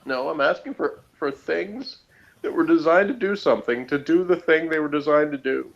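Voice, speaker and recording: A man's voice: on the high side, normal speed, creaky, highs cut off. A man chatting casually as a panelist on a review show, rambling and peppering his talk with "like" and "you know". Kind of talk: casual opinionated chat.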